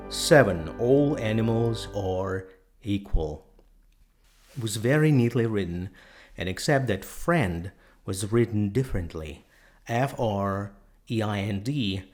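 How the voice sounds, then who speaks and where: Guy reading a story really slowly, deliberate, soft music underneath. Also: fairly low pitch. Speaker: narrator. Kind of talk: scripted storybook narration.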